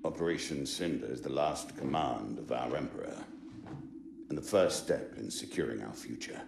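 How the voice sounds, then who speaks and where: A man playing a stern, cold middle-aged Imperial admiral in a video game, speaking in a measured, formal, commanding way. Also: very deep voice, vocal fry, echoey room, noisy background. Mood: cold, grave. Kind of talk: video game cutscene acting.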